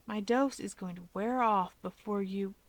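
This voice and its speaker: A quiet, drawling teenage boy, voiced by a woman narrator, smug and bratty as a little brother in an audiobook. He sounds ailing and deadpan, slow to get his words out. The recording is dry. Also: mid-range voice, no room sound, natural voice. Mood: weary complaint. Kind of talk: fan fiction audiobook character voice.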